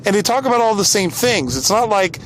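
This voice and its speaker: A man's voice: high-pitched, expressive, fairly quick, a bit bright. An American man hosting a podcast, giving casual opinion commentary in an exasperated, informal and emphatic way. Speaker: podcast host. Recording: clearly noisy background.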